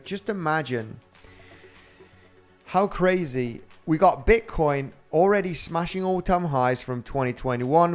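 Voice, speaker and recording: A man with a Spanish accent, a crypto trading host chatting casually on a livestream and reading out viewer comments in a rambling way. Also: on the high side. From Spain. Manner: rambling and chatty, with frequent fillers and laughs.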